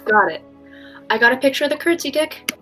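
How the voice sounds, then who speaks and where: Woman as eager high-voiced radio-play sweetheart. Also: animated, a little fast, over music. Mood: excited. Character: eager, excitable young woman snapping photographs, Tess. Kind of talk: 1940s radio drama acting.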